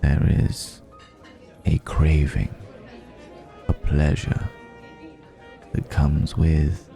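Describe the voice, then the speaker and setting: An extremely low, mellow male vampire played by a man murmurs in an intimate ASMR roleplay, with soft music behind him. He is centuries old, urbane and quietly predatory, with a gravelly creak. His delivery is measured and reflective, lingering in the pauses. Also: completely flat delivery, exaggerated voice. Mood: silky, predatory.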